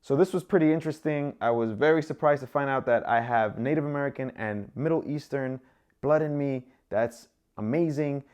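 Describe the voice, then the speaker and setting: A man's voice: medium pitch, brisk, smooth. Chatty young man from the Dominican Republic. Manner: relaxed and chatty, with many fillers. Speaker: travel vlogger. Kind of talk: casual vlog monologue.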